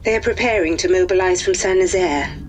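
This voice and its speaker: An actress playing a military officer delivering a formal mission briefing, with faint ambience behind. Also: female voice, on the low side, brisk, background noise, natural voice.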